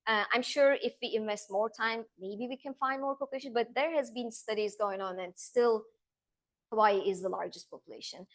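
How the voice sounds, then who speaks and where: A woman from Turkey with a Turkish accent, a seabird conservation researcher giving a public lecture, earnest and explanatory, pausing on "um" and slowing down to stress key points. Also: a little high.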